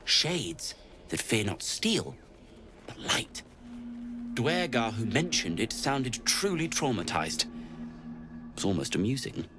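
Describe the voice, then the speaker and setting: A man's voice: mid-range voice, very animated, takes it slowly, long pauses, over music. A man voicing a curmudgeonly, theatrical gnome alchemist in a video game, with a wry, self-satisfied manner. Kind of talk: video game character acting.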